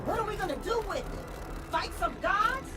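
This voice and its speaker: A thrill-seeking adventurer in a fantasy video game. The voice is very high, lively and brash, bursting with eagerness. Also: female voice, moderate pace, very noisy. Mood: eager.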